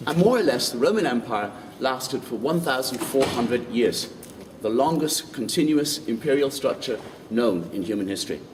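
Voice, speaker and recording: Tour guide, far from mic. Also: male voice, mid-range voice, moderate pace, hardly any pauses. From Ireland. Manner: lively, quizzes the group with questions. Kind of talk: walking tour talk to a group. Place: outdoors in old city streets. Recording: distant mic, street noise.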